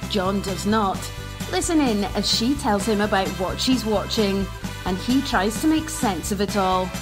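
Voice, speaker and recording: A woman's voice: medium pitch, unhurried. A woman reading a scripted show introduction in a cheery announcing manner. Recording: over swing music.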